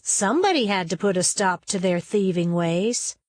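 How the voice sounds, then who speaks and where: A woman's voice: medium pitch, animated, moderate pace, dry recording, studio quality, lightly acted. A woman voicing a plucky, capable young cowgirl in a storybook, with a folksy, confident, plain-spoken manner. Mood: confident, matter-of-fact.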